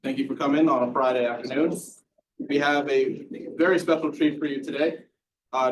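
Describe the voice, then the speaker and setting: A man hosting a university seminar, giving a relaxed introduction of the guest speaker. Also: medium pitch, distant mic, slight background noise, low-quality recording. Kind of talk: formal introduction. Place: lecture room.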